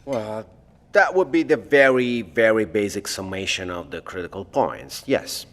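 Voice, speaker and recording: A man's voice: on the low side, measured pace, fairly bright tone. A man playing a precise, pedantic scientist in an audio drama, speaking formally. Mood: pedantic, measured. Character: precise, pedantic male secret project scientist. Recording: long hollow hall reverb.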